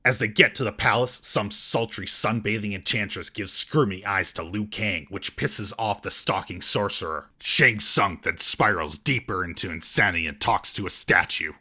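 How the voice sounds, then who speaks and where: Casting: male comedy reviewer, mocking delivery, lowish and raspy, reading a scripted recap. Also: moderate pace, few pauses, bright, forceful tone. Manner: sarcastic, mocking. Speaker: comedic film reviewer and host.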